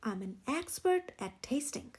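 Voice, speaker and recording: A woman's voice: high-pitched, sing-song, unhurried, a little mellow. A woman voicing a greedy pot-bellied pig in a storybook, eager and pleading to taste everything. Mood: hungry, eager.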